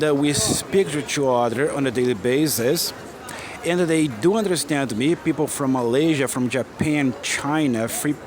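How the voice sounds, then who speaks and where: A Brazilian man, a native Portuguese speaker, giving an earnest, encouraging English lesson outdoors and repeating his key phrases. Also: fairly high pitch. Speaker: English teacher. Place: busy city street outdoors. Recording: noisy outdoor street recording. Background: street noise and traffic.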